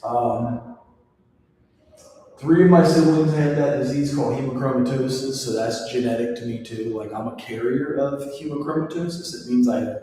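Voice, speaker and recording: A man's voice: mid-range voice, dark tone, slight background noise. A man in his forties giving a casual classroom lecture with chatty personal asides. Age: 40s. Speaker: instructor. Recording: echoey hall recorded from far off.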